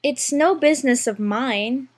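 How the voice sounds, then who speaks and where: A polite, plucky little girl speaks up in a storybook reading, as a male narrator puts her on. Her voice is high and clear, courteous yet firm. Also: measured pace. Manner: polite but decided. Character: polite, bold young girl.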